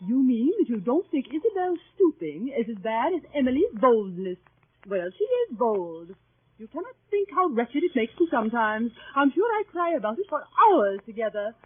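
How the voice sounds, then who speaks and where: An actress playing a coy, catty middle-aged spinster aunt, gushing and affected in 1930s radio style. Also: female voice, on the high side, very animated, moderate pace, breathy edge, no echo. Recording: muffled, dry old radio recording.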